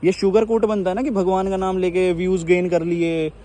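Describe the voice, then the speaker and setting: A man's voice: high-pitched, level tone, normal speed, dark tone. A young man with a Delhi accent talking argumentatively on a phone call, insistent, repeating himself and cutting in. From Delhi, India. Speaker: social media exposé creator on a phone call. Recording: mildly noisy recorded phone call.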